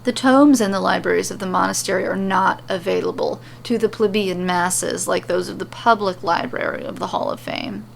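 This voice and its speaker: A noisy recording of a woman with a medium-pitched voice reading serial fiction aloud. She narrates as the host of the story. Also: normal speed, gravelly, few pauses.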